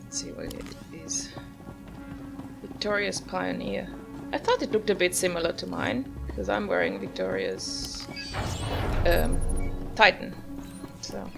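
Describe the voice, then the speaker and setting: A woman's voice: lowish voice, big pitch swings, measured pace, vocal fry, long pauses. A woman giving casual gameplay commentary as a video host, musing aloud in an offhand way. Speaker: gaming video host, player. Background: loud game music.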